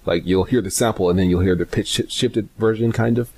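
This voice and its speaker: A man giving a casual software tutorial, hesitant and informal as he thinks aloud. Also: lowish voice, steady tone, fairly quick. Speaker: tutorial host.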